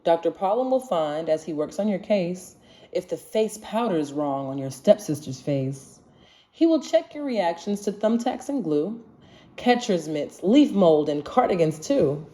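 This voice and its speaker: Female storybook reader, soft-edged mellow voice, highly varied intonation, expressive singsong delivery of rhyming verse for kids. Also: measured pace. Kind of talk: children's picture book read-aloud in rhyme.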